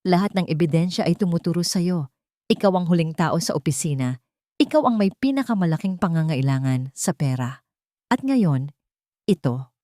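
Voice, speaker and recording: A woman voicing a cold, calm security chief who taunts and menaces in an audio drama. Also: on the low side, no echo, studio quality, natural voice. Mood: mocking. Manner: unhurried, insulting, emotionless. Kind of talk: dramatized audio story dialogue.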